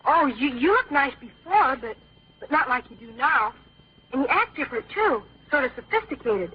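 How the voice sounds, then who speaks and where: A man's voice: very high-pitched, sing-song, normal speed, slightly rough, exaggerated voice. A young male actor playing an earnest, bashful, hesitant thirteen-year-old boy in an old-time radio drama. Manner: hesitant, eager. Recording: dull old radio recording.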